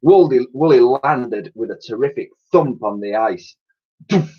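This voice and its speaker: A man reading a children's story aloud as a teacher would, with dramatic, expressive delivery. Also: mid-range voice, moderate pace, slightly rough. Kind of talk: animated storybook narration. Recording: dull, lo-fi sound.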